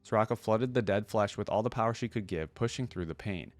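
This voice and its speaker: Male reaction host, lower register, casual reader-commentator who thinks out loud, dry recording. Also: fairly quick, no room sound. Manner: breaks off to muse aloud and explain. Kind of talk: reading a story aloud with casual commentary.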